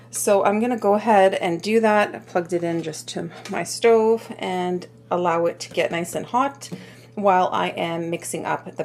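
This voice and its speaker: A woman's voice: fairly low pitch, slight background noise. Chatty woman in a home kitchen. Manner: chatty, lots of "um" and asides. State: slightly hoarse. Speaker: cooking vlogger. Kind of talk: casual kitchen chat.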